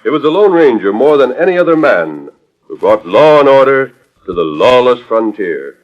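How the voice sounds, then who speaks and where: Man as animated, declamatory old-time radio narrator. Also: medium pitch, normal speed, close to an everyday voice. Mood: stirring, dramatic. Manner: dramatic, declamatory storytelling. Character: grave, stirring storyteller of a Western adventure. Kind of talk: 1940s radio drama narration, scripted. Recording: dull old radio disc with music.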